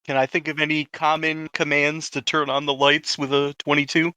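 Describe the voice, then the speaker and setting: A man's voice: on the high side, flat delivery, moderate pace, bright, forceful tone, clear, resonant tone, dry recording. A man playing a tabletop roleplaying game, casually reasoning things out in a practical way.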